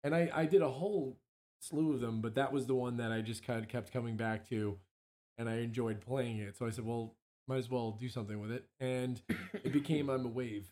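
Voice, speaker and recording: A man with a hoarse voice and a dark, mellow tone speaks at a middling pitch. He talks as a songwriter guest in a casual interview, rambling and often interrupting himself mid-thought. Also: fairly even intonation, brisk. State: hoarse from allergies, clearing throat.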